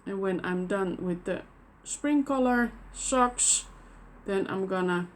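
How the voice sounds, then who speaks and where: A woman with a Dutch accent speaks English as a second language, talking very slowly and carefully. She fills her pauses with "uh" and "um" and restarts her sentences as she shows her knitting. Faint background noise sits under her voice. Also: medium pitch. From Netherlands. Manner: hesitant, many fillers and restarts. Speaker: knitting podcast host. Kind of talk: casual show-and-tell chat.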